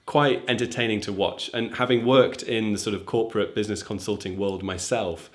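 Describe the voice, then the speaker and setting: Chatty man with a low voice. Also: fairly even intonation, brisk. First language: English. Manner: chatty, thoughtful, frequent ums and you knows. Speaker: YouTuber reviewing films for German learners. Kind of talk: casual talking-to-camera review.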